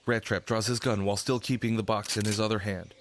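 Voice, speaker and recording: A man's voice: fairly low pitch, fairly even intonation, fast, slight background noise. A man narrating a radio drama from a script.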